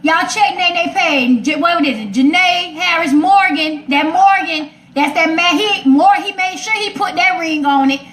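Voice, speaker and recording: A woman's voice: very high voice, measured pace, few pauses, a bit bright. An American woman hosting a casual radio chat, outspoken, sassy and emphatic as she gives her opinions. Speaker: radio segment host. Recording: roomy echo.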